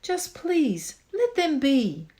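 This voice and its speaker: A woman as an earnest storybook elephant, lively pitch. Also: very slow, heavily acted. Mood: pleading. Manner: earnest, pleading. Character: kind, earnest, protective male elephant.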